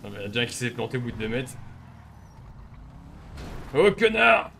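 A man's voice: mid-range voice, big pitch swings, moderate pace, gravelly, heavy background noise. A young man streaming a role-play game on a headset, swearing and mocking other players in casual commentary.

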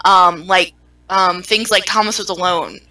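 A woman in a casual game discussion, rambling and animated, with some swearing. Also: fairly low pitch, measured pace, poor audio. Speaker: gaming stream panelist.

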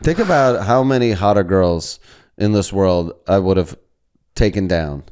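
A man's voice: low-pitched, lively intonation, a little slow, darkish tone, clean tone. A man in his thirties from the US East Coast, making blunt, crude, self-deprecating podcast banter on a close studio mic. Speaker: podcast host. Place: indoor studio. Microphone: close boom-arm studio microphone.

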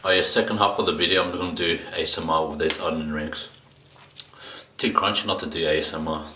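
A young man with a deep but crisp-edged voice chats casually as he eats, in short exclaimed phrases. The mic sits some distance away, so the room is audible around him. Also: fairly even intonation, quick pace, pushed and bright. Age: young adult. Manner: talking between bites, short exclamations. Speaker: mukbang video host. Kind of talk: casual eating-show commentary. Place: indoors against a plain dark backdrop. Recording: roomy, distant mic, faint noise.

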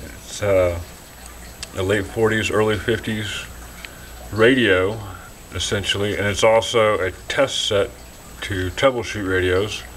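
A man giving a casual, halting product demonstration from behind a hand-held camera indoors, as if selling something. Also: on the low side, steady tone, a bit bright, a little hiss or hum, poor audio. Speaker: seller demonstrating a restored vintage radio signal tracer. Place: dim indoor room, speaking from behind the camera.

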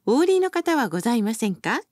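A woman's voice: a little high, big pitch swings, quick pace, darkish tone, dry recording, studio quality. A woman reading scripted bus announcements as a recorded announcer, in a formal, polite and carefully enunciated manner.

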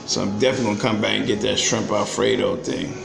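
A man's voice: lowish voice, steady tone, fast, gravelly. An older man, chatting casually and upbeat into his phone while filming a vlog in a small restaurant. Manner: chatty, upbeat, plain-spoken. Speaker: vlogger. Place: small restaurant indoors, then street. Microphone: phone camera held at arm's length. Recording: roomy restaurant with music behind.

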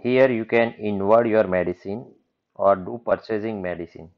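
A man with a very low voice explains software screens in a plain, matter-of-fact way. The sound is dull and lo-fi, like a basic computer mic. He moves step by step through each screen. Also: normal speed. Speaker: software demonstrator. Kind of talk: screen-recorded software walkthrough.

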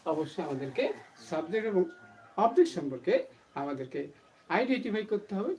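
A woman's voice: lowish voice, very animated, fairly quick, warm tone. A woman teaching grammar to camera, explaining emphatically and repetitively, asking questions and answering them herself. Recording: distant mic, faint background noise.

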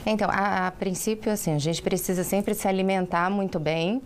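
A woman's voice: medium pitch, fairly even intonation, a little fast. A woman doctor, explaining health advice patiently on a live TV talk show, recorded in a studio on a lapel mic. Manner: patient, explanatory, with frequent filler tags. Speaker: doctor guest.

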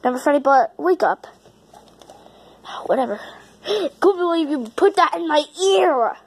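A woman voicing an excitable, gushing, bickering toy fox in an improvised play skit. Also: sits high, a little slow. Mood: grumpy, bickering. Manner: gushing, gasping, shrieking, bickering.

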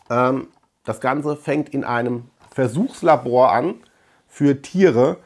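Halting middle-aged man reviewing films. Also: medium pitch. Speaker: host of a film collection channel. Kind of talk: casual film review to camera. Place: small room lined with shelves of collectibles.

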